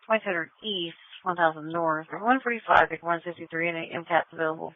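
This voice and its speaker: A woman's voice: lowish voice, fast, no echo. A woman reading out police dispatches in a procedural manner over a tinny scanner. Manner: flat, procedural.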